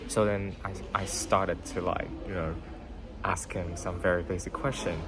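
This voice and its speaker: Deep-voiced man musing over music. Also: little pitch movement, a little slow. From Vietnam. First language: Vietnamese. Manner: rambling, many fillers and tag questions. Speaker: podcast host. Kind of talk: casual motivational monologue. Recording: background music under voice.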